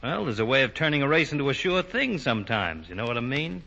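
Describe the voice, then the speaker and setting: An old, dull-sounding radio drama gives us a slick racetrack crook. His voice is medium-pitched and hard, with a lively rise and fall. He insinuates and threatens coolly in slangy tough talk. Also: fast, gravelly, full character voice. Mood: sly, persuasive. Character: sly, slick crooked horse owner, coolly menacing. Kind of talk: 1940 radio drama acting. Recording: dull vintage 1940 radio recording.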